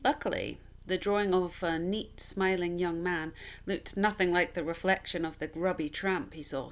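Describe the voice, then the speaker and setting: A woman reading a children's story aloud in an expressive, measured, dramatic storytelling style. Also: low voice.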